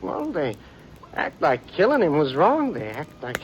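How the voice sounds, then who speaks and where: Nervous, high-voiced outlaw in radio western. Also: male voice, wildly swooping pitch, unhurried, muffled recording. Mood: defensive, aggrieved. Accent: American. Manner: stammering, wheedling, folksy rural speech. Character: nervous, defensive outlaw turned informer, pleading for protection. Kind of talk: old-time radio western acting. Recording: noisy vintage radio drama recording.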